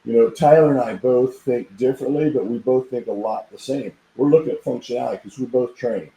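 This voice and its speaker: Hoarse, dark-voiced middle-aged man, guest on a podcast, rambling storyteller in an echoey room. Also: mid-range voice, a little fast, highs cut off. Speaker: podcast guest, equipment designer. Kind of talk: casual chat.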